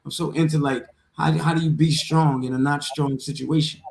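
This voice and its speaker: Creaky, high-pitched New man chatting. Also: steady tone, moderate pace, a little mellow. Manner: emphatic, slangy, repetitive. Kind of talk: casual, streetwise chat. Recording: roomy echo with faint noise.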